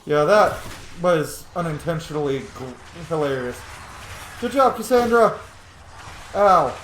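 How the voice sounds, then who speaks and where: A man's voice: a little high, animated, a little slow, a bit bright. A man giving casual, slangy, reactive commentary while playing a video game. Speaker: game streamer. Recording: roomy echo, faint noise.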